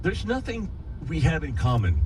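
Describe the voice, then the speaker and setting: A man explaining his view in a casual political discussion, measured but hesitant as he picks his words. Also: a little high, wildly swooping pitch. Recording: loud traffic and outdoor noise.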